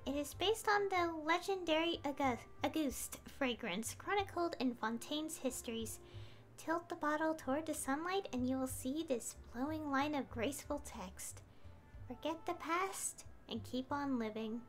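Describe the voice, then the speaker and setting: A woman's voice: high-pitched, measured pace. A woman streaming a game, giving casual commentary in a hesitant, rambling way that often trails off. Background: game music.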